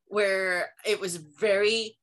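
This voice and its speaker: A woman with a bright, crisp-edged voice at a middle pitch co-hosts a podcast. Her delivery is casual, jokey and self-deprecating. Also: fairly even intonation, takes it slowly. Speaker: podcast co-host. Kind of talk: casual chat.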